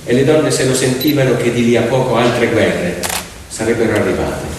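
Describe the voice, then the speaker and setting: A man's voice: medium pitch, moderate pace, recorded from a distance, slight background noise. A man telling a story theatrically as a stage actor, in an emphatic, declamatory manner with rhythmic repetition. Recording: echoey hall from afar, loud music. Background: loud piano music.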